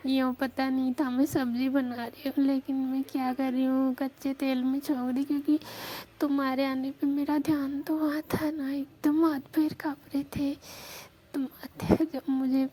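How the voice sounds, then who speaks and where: A woman playing a shy, devoted young married Rajasthani village woman, speaking in a hushed voice to her secret lover on the phone. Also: little pitch movement, moderate pace, close to an everyday voice. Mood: shy, tender. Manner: hushed, speaking low so neighbours will not hear. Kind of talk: intimate romantic phone conversation, acted as a prank call recording. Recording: noisy phone call recording.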